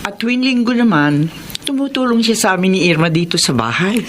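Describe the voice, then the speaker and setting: A Filipino actress playing a calm, understanding wife in a radio drama. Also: female voice, mid-range voice, fairly quick, slight background noise, close to an everyday voice. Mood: reassuring.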